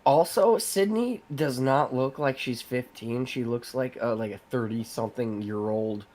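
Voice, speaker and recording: A man's voice: mid-range voice, a little slow, a little mellow, a little hiss or hum. A man co-hosting a podcast with casual, bantering commentary. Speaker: podcast co-host.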